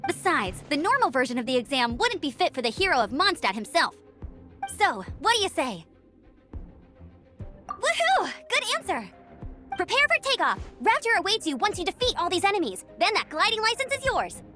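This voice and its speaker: High, lively, upbeat young heroine. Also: female voice, normal speed, heavily acted. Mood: playful, encouraging, then excited, cheering. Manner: energetic, playful, encouraging. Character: upbeat, confident young female outrider and gliding examiner. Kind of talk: English anime-style game voice acting. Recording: faint game music behind.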